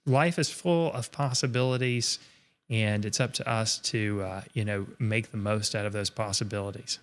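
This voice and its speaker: A man's voice: fairly low pitch, steady tone, normal speed. Earnest encouraging male art instructor. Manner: earnest, encouraging, speaks in long reflective runs. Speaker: art instructor co-hosting a live stream. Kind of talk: live-stream chat, reading viewer comments.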